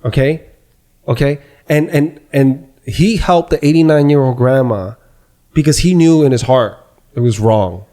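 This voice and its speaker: Excitable man with a mellow voice. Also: mid-range voice, measured pace. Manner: excited, emphatic, repeats phrases. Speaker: podcast host. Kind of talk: casual podcast chat.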